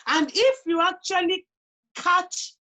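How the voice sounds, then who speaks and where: Female interview guest, Nigerian accent, high voice, conversational yet emphatic delivery. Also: takes it slowly, pushed and bright. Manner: emphatic, repeats phrases for emphasis. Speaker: guest on a talk show. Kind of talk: conversational interview, personal advice.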